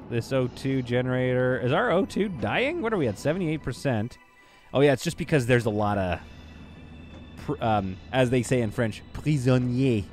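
A Canadian man in his thirties, streaming a game from a home green-screen setup, joking with chat and talking on a boom mic. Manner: playful, jokey, lively, swings between loud bursts and quiet asides. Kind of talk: casual livestream chat while building in a game. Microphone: studio mic on a boom arm.

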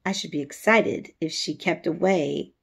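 A woman's voice: sits low, measured pace, gravelly, slightly rough, exaggerated voice. A woman voicing an imperious, self-assured sickly ten-year-old boy in a storybook, giving orders in a commanding, unbothered way. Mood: insistent.

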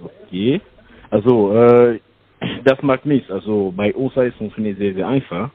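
A man's voice: lowish voice, moderate pace, breathy edge. A man making a scam phone call, eager, with broken grammar. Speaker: scam caller. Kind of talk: phone sales pitch. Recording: tinny phone line.

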